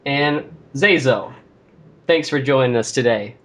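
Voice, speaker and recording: A man's voice: medium pitch, measured pace, fairly bright tone. A teenage boy hosting a casual interview, asking friendly questions with frequent "um"s.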